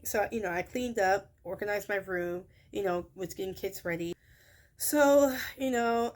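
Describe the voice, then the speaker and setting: Rambling young woman with a high shrill voice. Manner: rambling, many fillers and self-corrections. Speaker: childcare worker. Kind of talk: casual vlog recap of a workday.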